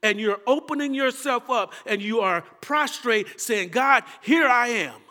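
High, bright Texan man preaching. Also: expressive, unhurried, long reverb tail. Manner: impassioned preaching, swinging between loud calls and quiet asides. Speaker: pastor. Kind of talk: sermon.

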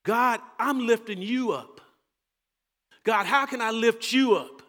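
A Texan man preaching with passion in a high, bright voice. He swings from loud, fervent calls to quiet, low asides. His voice rings with the long reverb of a big church hall. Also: slow. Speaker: pastor. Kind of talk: sermon.

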